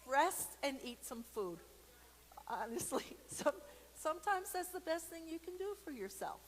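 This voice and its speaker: A woman's voice: sits high, unhurried, slight background noise. Playful woman storyteller in a reverberant space. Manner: playful, expressive, with silly sound effects and asides; informal and encouraging, talking straight to viewers. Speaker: storyteller and church program host. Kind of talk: casual read-aloud for kids with chatty asides.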